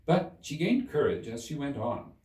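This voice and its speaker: A man reading a story aloud in expressive scripted narration, recorded indoors. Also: mid-range voice, normal speed. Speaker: storybook reader. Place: indoors, in a roomy space. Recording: echoey room, distant mic.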